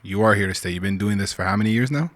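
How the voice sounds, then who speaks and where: A man's voice: lowish voice, racing, no room sound. A man hosting a podcast, talking in a laid-back way full of "you know" and "like" as he asks his guest questions. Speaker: podcast host. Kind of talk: casual podcast interview.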